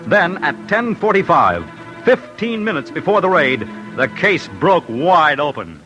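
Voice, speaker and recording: A man's voice: a little high, sing-song, a little slow. A man with an American accent narrating a true-crime story in dramatic 1940s radio style, grave and emphatic. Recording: old radio broadcast with music bed.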